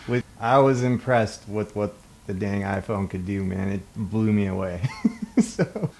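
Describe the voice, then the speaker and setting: A man with a slightly low voice speaks at a slow, easy pace. He chats casually like a video creator with a buddy, effusive and full of slang. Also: animated, gravelly, darkish tone. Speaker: video creator, challenge contestant. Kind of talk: casual chat between friends.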